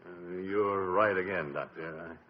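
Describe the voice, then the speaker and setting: An actor playing a blunt, slangy, gleeful college board member in a 1950 radio drama. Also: male voice, deep voice, slow pace. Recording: dull lo-fi 1950 radio broadcast.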